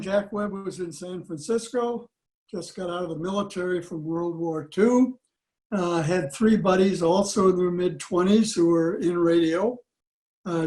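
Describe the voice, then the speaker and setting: An elderly man giving an informal introduction to a program on a video call, in a halting, deliberate way. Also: high-pitched, a little mellow, rough recording. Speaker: club presenter introducing an old radio show. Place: small room at home. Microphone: computer video call.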